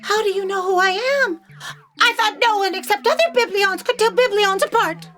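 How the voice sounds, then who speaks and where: Squeaky-high bewildered young alien intern. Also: female voice, normal speed, pushed and bright. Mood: startled, indignant. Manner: indignant, questioning. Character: bewildered eighteen-year-old female tentacled alien bookish intern. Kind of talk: scripted audio comedy acting.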